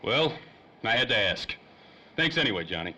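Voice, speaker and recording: A man's voice: mid-range voice, sing-song, normal speed, sharp tone, full character voice. An actor playing a world-weary, wisecracking private eye in a 1930s radio play, full of slangy tough-guy talk. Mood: weary, pressing. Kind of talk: old-time radio drama acting. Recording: faintly noisy old-time radio recording.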